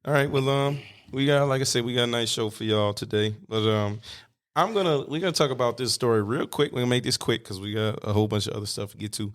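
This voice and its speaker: A man's voice: medium pitch, quick pace, hardly any pauses. A man in his thirties hosting a casual podcast, laid-back, laughing often and swearing freely. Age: 30s. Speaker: podcast host.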